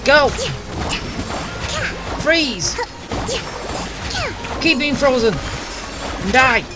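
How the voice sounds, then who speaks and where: A woman plays a video game and comments casually. Her voice is a bit high and very unhurried, halting and muttering, under loud game music. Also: lively intonation. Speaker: gamer.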